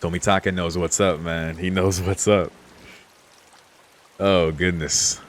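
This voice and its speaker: A man's voice: low voice, little pitch movement, slow pace. A man streaming a game, reading text aloud and adding casual, laid-back commentary. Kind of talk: casual let's play commentary and read-aloud.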